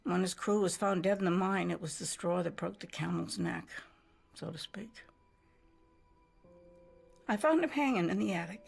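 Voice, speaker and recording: A woman's voice: fairly low pitch, moderate pace, creaky, pauses often, slightly rough, soft background music, exaggerated voice. An actress playing a weary older widow, haunted and grieving, telling her story in brooding fragments for a video game cutscene. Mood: mournful.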